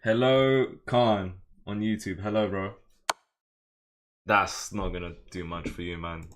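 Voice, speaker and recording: Man, chess streamer, deeper register, chatty and hyped, light background noise. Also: a little slow. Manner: excitable, slangy, chatty with viewers. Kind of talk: casual live game commentary.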